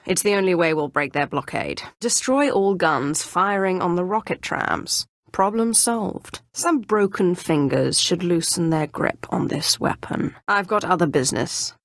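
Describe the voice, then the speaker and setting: An actress playing a ruthless, imperious Sith Inquisitor in a video game, with a haughty, menacing, deliberate delivery. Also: female voice, on the low side, no echo, clean studio sound. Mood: imperious, calculating. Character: ruthless, imperious female Sith Inquisitor, dark sorceress of the Empire. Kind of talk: video game character voice acting.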